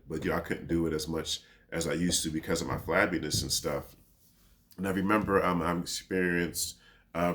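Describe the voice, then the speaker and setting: A man in his thirties with a deep voice, talking through a personal reflective monologue in a laid-back, rambling way full of fillers. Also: fairly bright tone, a little hiss or hum. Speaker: podcast host.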